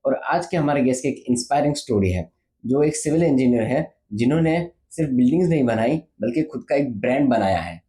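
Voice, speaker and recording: A man's voice: medium pitch, expressive, brisk, warm tone. A man with an Indian accent hosting a podcast interview in a conversational way. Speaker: podcast host. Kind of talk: conversational Hindi-English interview.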